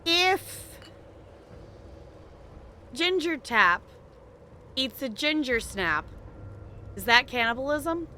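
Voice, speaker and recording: A woman live streamer chatting casually with her viewers while gaming, thinking aloud with halting pauses. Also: high-pitched, very animated, pushed and bright, slight background noise.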